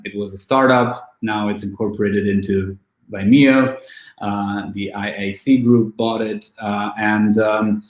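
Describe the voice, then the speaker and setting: A man in his thirties from Romania, an event organizer, talking in a casual online interview with many fillers and restarts. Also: lowish voice. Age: 30s. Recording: echoey room.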